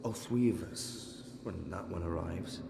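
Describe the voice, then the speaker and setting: An actor playing a tender, earnest, protective young father in a video game cutscene, with a gentle, reassuring manner. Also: low-pitched, measured pace, vocal fry, reverberant, background noise, heavily acted. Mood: reassuring, subdued.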